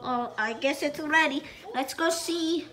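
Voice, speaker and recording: A woman's voice: high-pitched, unhurried, fairly bright tone. A woman voicing a goofy, eager male plush toy who rambles cheerfully while cooking in a home kitchen, on a handheld phone. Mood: cheerful rambling.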